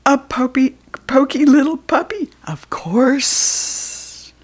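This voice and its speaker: A woman voicing a smooth, sly, hungry male snake in a children's story, whispering breathily with slow, menacing politeness. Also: high-pitched, animated, voice pushed up. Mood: gleeful menace.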